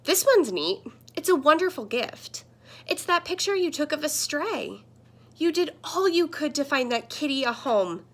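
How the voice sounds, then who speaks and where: A woman voicing a friendly, earnest talking computer screen that gently scolds, in a children's picture book. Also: high-pitched, sing-song, a little slow, pitched above the performer's own voice, full character voice. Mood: gently scolding. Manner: earnest, explanatory.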